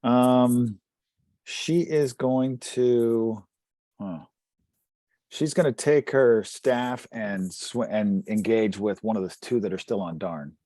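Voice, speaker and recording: A man's voice: medium pitch, slow pace, mellow, no room sound, studio quality. A man playing a tabletop role-playing game, chatting casually and describing what his characters do.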